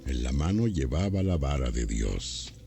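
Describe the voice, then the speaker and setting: A man's voice: a bass voice, very animated, a little slow, dark tone, faint music behind. A man narrating a scripted, dramatized Bible audio.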